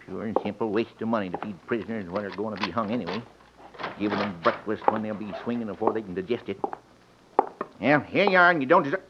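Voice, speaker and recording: An actor playing a folksy, callous small-town sheriff in an old-time radio western, with a rural Western American accent and repeated phrases. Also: male voice, fairly low pitch, expressive, fast talker, close to an everyday voice. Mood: grumbling. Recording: noisy, muffled vintage radio recording.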